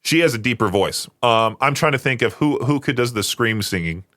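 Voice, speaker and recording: A man hosts a casual radio hour, joking as he reads things out. His voice sits at an ordinary pitch, and the studio mic is close and dry, with no room sound at all. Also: lively intonation, fairly quick, a bit bright, no room sound. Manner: joking, reads listener prompts aloud. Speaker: radio host.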